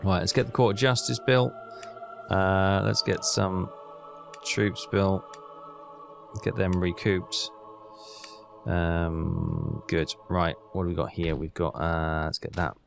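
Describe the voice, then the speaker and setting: A man's voice: sits low, steady tone, takes it slowly, creaky, pauses often, faint music behind. A man hosting a gaming video, giving casual commentary and thinking aloud in a chatty way as he plays.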